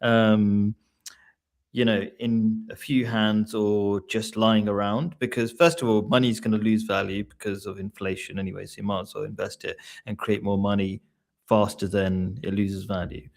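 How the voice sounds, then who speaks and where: A man's voice: lowish voice, level tone, moderate pace, vocal fry, darkish tone. A man from England hosting a live online discussion, asking questions and often restarting his sentences. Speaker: host.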